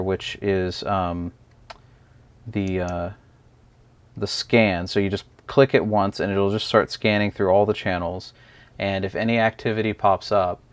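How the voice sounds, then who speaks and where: A man's voice: deep voice, smooth, no room sound. A man giving a casual, unscripted hands-on product review, explaining step by step in a hesitant way.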